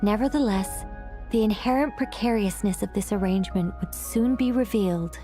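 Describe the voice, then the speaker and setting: A woman playing a gentle, learned sage in a video game, recounting ancient lore in a measured, articulate storytelling manner. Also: mid-range voice, faint music behind, close to an everyday voice. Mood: solemn. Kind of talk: scripted video game narration.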